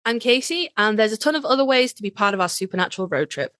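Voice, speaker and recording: A woman's voice: mid-range voice, expressive, quick pace, fairly bright tone, no room sound. Dry-sounding, casual woman podcast co-host. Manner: informal, direct address to listeners. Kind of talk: casual podcast presenting and banter.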